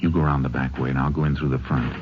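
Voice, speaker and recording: An actor playing a steady, firm Texas Ranger in a radio western, terse and plain-spoken. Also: male voice, very deep voice, racing. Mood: calm, businesslike. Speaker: lead of the show, also narrating. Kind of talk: 1950s radio western acting and narration. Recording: muffled old radio recording, faint hiss.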